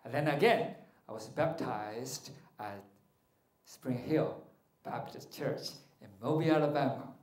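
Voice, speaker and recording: A middle-aged man gives a playful spiritual talk on a stage, quizzing the crowd and joking as he goes. His voice sits at an ordinary pitch and rings through a big, echoey hall from a handheld mic. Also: unhurried. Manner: animated, joking, asks the audience questions. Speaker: martial arts and meditation teacher. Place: large hall with curtained stage.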